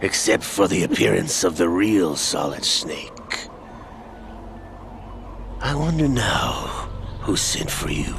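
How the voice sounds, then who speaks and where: An actor playing a cold, scheming older male villain in a video game, calm, measured and mocking as he lays out a plot. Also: low-pitched, gravelly, noisy background, heavily acted. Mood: smug, coldly explaining. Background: game score music.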